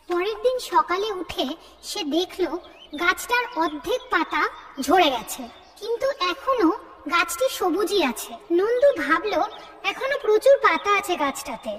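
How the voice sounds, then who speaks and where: A woman's voice: very high-pitched, fairly bright tone, big-room sound. A woman narrator reading a scripted fairy tale for a children's cartoon.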